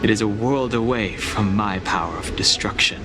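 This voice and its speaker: A man playing a quiet, gentle, reserved wandering warrior in a video game, speaking in a measured way. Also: fairly low pitch, natural voice. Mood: gently reassuring. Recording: loud music under the voice.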